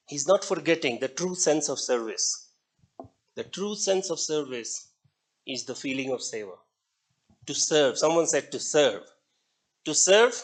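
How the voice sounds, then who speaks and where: A man's voice: a little high, a bit bright. A middle-aged man with an Indian English accent, a native Hindi speaker, giving a classroom lecture, telling stories and putting questions to the class with small chuckles. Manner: asks the class questions, chuckles between points.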